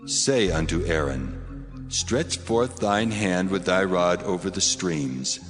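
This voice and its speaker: A man playing a majestic, solemn God giving grave, measured commands in a dramatized scripture recording. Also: low-pitched, lively intonation. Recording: long hall reverb, prominent music.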